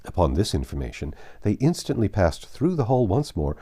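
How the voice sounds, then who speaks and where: A man's voice: lowish voice, very animated, normal speed, dark tone, husky. A man narrating a classic novel from a script, as an audiobook reader.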